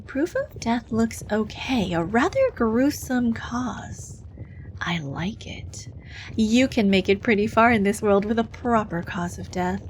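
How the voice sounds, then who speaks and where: Lady acting as a demon office clerk lady doing hell interviews, brisk n condescending, asmr roleplay, mid pitch, bit of noise behind her. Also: rough recording. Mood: businesslike, approving. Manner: officious, dryly condescending, matter-of-fact.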